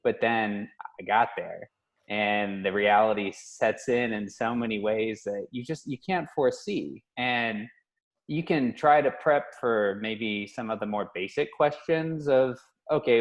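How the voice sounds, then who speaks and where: A man thoughtfully telling about his experiences abroad over a video call, measured and reflective. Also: lowish voice, poor audio. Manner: thoughtful, measured, some restarts. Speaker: workshop participant. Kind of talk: reflective personal story.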